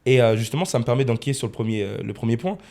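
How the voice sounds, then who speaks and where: A man's voice: mid-range voice, expressive, rapid-fire, dark tone. A man of twenty-one hosting a casual podcast in a studio, rephrasing his points for emphasis. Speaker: podcast host, freelance video editor.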